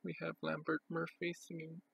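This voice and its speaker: A man with a very gravelly, husky voice gives an unscripted show-and-tell. His pitch sits a little high and his delivery is plain and halting as he goes item by item. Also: completely flat delivery, a bit bright, no room sound, rough recording. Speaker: record collector presenting a collection.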